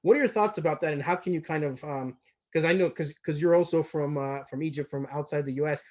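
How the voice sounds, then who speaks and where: A man's voice: on the high side, fast talker, darkish tone. Enthusiastic man from Turkey. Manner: enthusiastic, repeats words while thinking. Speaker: company CEO. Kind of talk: casual business discussion.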